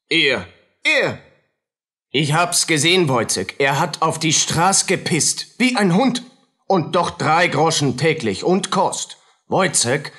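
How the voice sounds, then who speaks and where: Radio play casting: pompous male doctor, husky, deliberate, declaiming and scolding his test subject. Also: a little high, bright, forceful tone, heavily acted. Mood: indignant. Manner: pompous, declaiming, barking short phrases. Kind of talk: radio drama acting.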